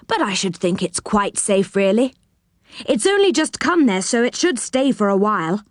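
A woman voicing a brisk, practical male character in a children's storybook, matter-of-fact and cautioning. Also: medium pitch, very animated, no echo, clean studio sound, natural voice.

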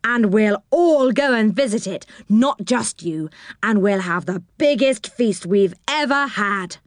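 A woman's voice: medium pitch, expressive, a little slow, no room sound, pitched above the performer's own voice, close to an everyday voice. A cheerful, reassuring storybook tree dweller, voiced by a woman reading aloud, hearty and kind. The voice is lifted slightly above her plain narration and recorded dry and close. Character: cheerful, kindly moon-faced male tree dweller.

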